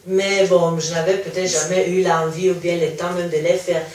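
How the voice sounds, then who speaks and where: A woman's voice: fairly low pitch, flat delivery, normal speed, darkish tone. A young woman whose first language is Italian, answering interview questions hesitantly with many fillers and restarts. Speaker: interview guest. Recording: echoey room, mic far off.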